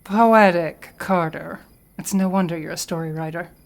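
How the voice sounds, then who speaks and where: A woman's voice: on the low side, normal speed, creaky. A woman playing a skeptical, argumentative intellectual in a radio drama, dry and precise in manner. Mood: dry, impatient. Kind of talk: theatrical radio drama acting.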